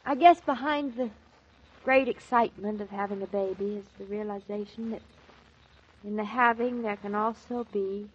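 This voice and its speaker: An actress in a 1940s radio drama playing a playful, tender young newlywed wife, with a bright, teasing and dreamy way of talking on an old transcription. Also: female voice, medium pitch, steady tone, normal speed, breathy edge, highs cut off, lightly acted. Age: young adult.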